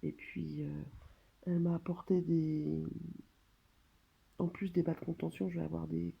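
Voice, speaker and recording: A French woman speaking casually and hesitantly, as in a personal video diary, pausing and trailing off between phrases. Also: sits low, little pitch movement, vocal fry, husky, dull audio. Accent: French, from France. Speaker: vlogger documenting own surgery stay. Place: hospital room.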